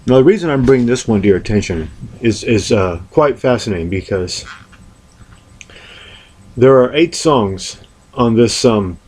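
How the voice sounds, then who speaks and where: A man presenting a record collection in an unscripted show-and-tell, speaking deliberately and haltingly, often repeating words. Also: mid-range voice, expressive, darkish tone, breathy edge. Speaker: music collector presenting albums.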